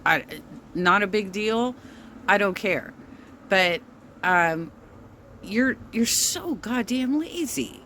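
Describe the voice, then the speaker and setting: Middle-aged woman, bassy voice, slow and rambling, bright tone, faint noise behind. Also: animated. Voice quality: bass to her voice. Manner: slow-spoken, rambling with many ums. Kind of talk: casual rant.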